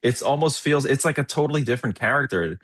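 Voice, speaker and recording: A man's voice: medium pitch, animated, fast talker, fairly bright tone, husky, dry recording. A man co-hosting a movie podcast, talking casually and thinking aloud in slangy, conversational phrases. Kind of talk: casual chat, film discussion.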